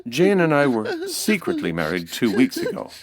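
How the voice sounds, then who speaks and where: A man's voice: medium pitch, wildly swooping pitch, moderate pace. A man playing a nervous, polite, well-off young groom in a period radio mystery, speaking hesitantly. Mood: anxious.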